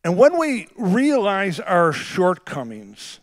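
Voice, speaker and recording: A middle-aged male pastor with a big voice, preaching a sermon that swings from quiet, conversational asides to shouted, drawn-out emphasis. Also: high voice, wildly swooping pitch. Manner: drawn-out emphatic phrasing on key words.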